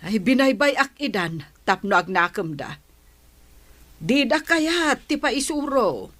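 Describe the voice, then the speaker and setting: A woman's voice: fairly high pitch, very animated, takes it slowly, a bit bright, full character voice. An actress playing a resentful, complaining woman in a radio drama, disdainful in tone, with an Ilocano accent. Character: sour, complaining woman, resentful of young couple.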